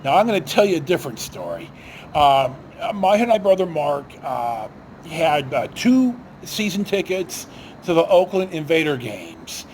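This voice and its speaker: Man, lively swooping intonation, halting delivery, casual rambling storytelling, faint background noise. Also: a little high. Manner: halting, often breaking off and circling back. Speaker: storyteller host.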